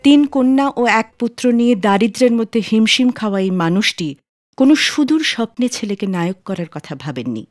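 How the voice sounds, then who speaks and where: A woman hosting a radio show, reading a scripted narration in an expressive, storytelling way. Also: on the high side, fairly quick, no room sound, studio quality. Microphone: close studio microphone. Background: soft music.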